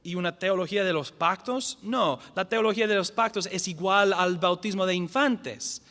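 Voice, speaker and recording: A high-pitched man teaches a church lesson as pastor. He is a non-native speaker who restarts words and repeats his key definitions slowly. His voice rings with the long reverb of a church hall. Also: sharp tone. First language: not the language spoken. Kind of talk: church lecture.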